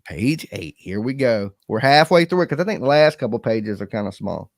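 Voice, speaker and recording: A man's voice: mid-range voice, sing-song, moderate pace, dry recording, studio quality. A man co-hosting in loose casual chat, joking and slangy with lots of fillers. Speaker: co-host.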